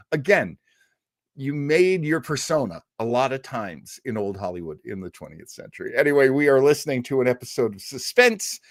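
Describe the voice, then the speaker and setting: A man with a very lively, swooping delivery and a medium pitch, recorded close and dry. He talks as a livestream host introducing a show, casual and rambling, wandering off on tangents and poking fun at himself. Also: dry recording. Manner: self-deprecating, joking, digressive. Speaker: host of a live online radio drama listening party.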